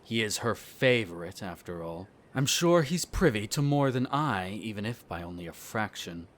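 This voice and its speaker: A man acting a haughty, bitter centuries-old vampire noble in an audio roleplay, speaking in a formal, measured, condescending way. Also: fairly low pitch, expressive, fairly bright tone. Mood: musing, wry. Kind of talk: scripted roleplay audio drama monologue.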